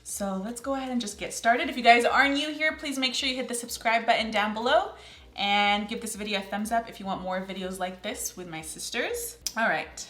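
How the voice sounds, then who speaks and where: A young woman in her twenties hosts a relaxed video chat with her sisters, talking in a chatty, teasing way. Her voice sits a little high and sounds bright. The room around her is echoey. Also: a little fast. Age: 20s. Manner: chatty, laughs often, teasing. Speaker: channel host.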